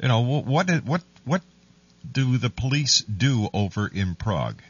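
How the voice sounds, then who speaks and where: A man hosting a radio show and interviewing a guest, halting with many fillers. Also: mid-range voice, lively intonation, breathy edge. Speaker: radio host. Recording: distant, muffled mic.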